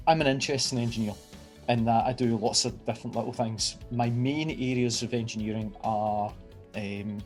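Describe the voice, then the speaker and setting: A Scottish man with a Glaswegian accent, a university engineering lecturer giving an enthusiastic, informal science outreach talk. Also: on the low side. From Scotland. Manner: enthusiastic, chatty, with fillers and restarts.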